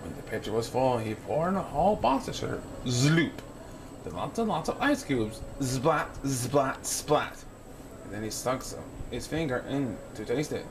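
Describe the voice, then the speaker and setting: A young man reads a children's picture book with a very animated, swooping delivery. He playfully performs the sound effects. There is clear background noise from a home recording. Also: mid-range voice, normal speed. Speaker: storyteller reading a picture book. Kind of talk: children's read-aloud narration.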